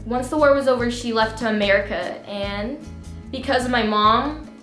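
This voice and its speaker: A woman's voice: on the high side, moderate pace, a bit bright. Young woman co-host, sincere casual chat; echoey room with light music under it. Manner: earnest, reflective. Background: faint background music.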